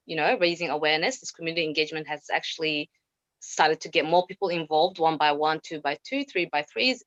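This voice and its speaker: A woman's voice: deep voice, a bit bright. A woman speaking enthusiastically at a community town hall, repeating her points. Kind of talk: unscripted town hall remarks. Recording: dry, muffled sound.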